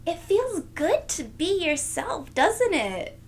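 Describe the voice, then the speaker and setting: A woman reads a storybook to little children in a high voice with a creaky edge. She is expressive and gentle, taking her time and talking straight to the listeners. There is faint noise in the background. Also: slow. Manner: expressive, gentle, addressing young children directly. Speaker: children's read-aloud host. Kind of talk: storybook read-aloud for young children.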